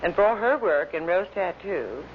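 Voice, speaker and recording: A man's voice: sits high, expressive, unhurried. A man reading a scripted report as a radio announcer. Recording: dull, faintly noisy vintage radio recording.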